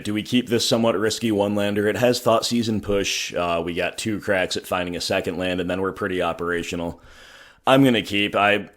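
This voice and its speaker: Hoarse deep-voiced man commenting on gameplay. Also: fairly bright tone. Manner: thinking aloud, wry, self-mocking. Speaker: gaming channel host.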